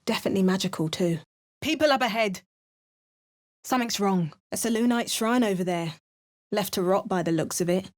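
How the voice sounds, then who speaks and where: A woman's voice: medium pitch, fairly quick, long pauses, close to an everyday voice. A woman voicing a wry British adventurer. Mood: dry. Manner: dry, wry and matter-of-fact. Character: female adventurer hero, dry and wry. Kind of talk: video game voice lines. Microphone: close studio mic.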